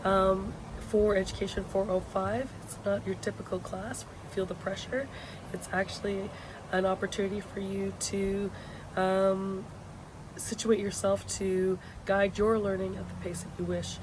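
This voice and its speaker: A woman with a hoarse, sick voice speaks as an instructor in an informal video blog, giving updates to her students in a hesitant, pausing way. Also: medium pitch, level tone. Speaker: university education instructor hosting a video blog. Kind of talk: informal course announcements to students. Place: outdoors on a patio. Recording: loud outdoor ambient vehicle noise.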